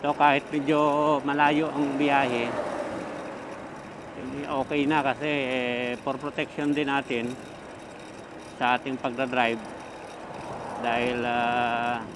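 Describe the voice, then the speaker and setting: A man's voice: mid-range voice, slow, long pauses. A man with a Filipino accent chatting casually to viewers into a helmet microphone as he rides a motorcycle, rambling and thinking aloud. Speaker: motovlogger. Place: outdoors, riding a motorcycle on the highway. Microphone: microphone inside the helmet intercom. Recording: steady road and vehicle noise. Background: traffic and motor noise.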